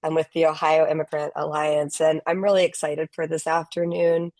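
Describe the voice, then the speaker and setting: A low-pitched woman hosting a video call panel. She introduces her guests with enthusiasm and a little fry. The sound is dry and close. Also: level tone, normal speed, no room sound. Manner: enthusiastic, warm introductions with fillers. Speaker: host. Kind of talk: casual online panel hosting.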